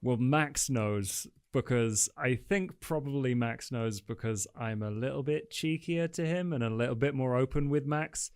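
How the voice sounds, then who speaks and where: A man's voice: medium pitch, a little slow, few pauses, a little mellow, clean tone. A man playing a tabletop role-playing game, improvising casually in and around his character.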